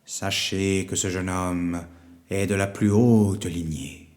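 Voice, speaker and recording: Guy doing a wise old storybook wizard, very slow, deep n mellow, solemn. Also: steady tone, long reverb tail. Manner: slow, grave, deliberate. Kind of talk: storybook character voice.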